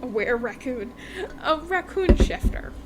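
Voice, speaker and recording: High-voiced woman, chatty and informal, noisy recording. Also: expressive, unhurried. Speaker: podcast host reviewing romance books. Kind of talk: casual book recap chat. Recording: noticeable background noise.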